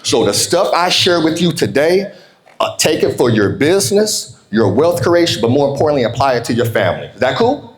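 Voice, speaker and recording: A man's voice: medium pitch, big pitch swings, brisk, low-quality recording. An American man speaking informally and directly to an audience as he gives a motivational keynote talk. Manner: conversational, informal, addresses listeners directly. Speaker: keynote speaker, entrepreneur coach.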